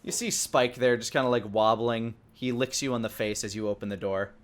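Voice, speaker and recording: A man's voice: medium pitch, steady tone. Man improvising tabletop game narration. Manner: descriptive, conversational, halting. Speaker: dungeon master.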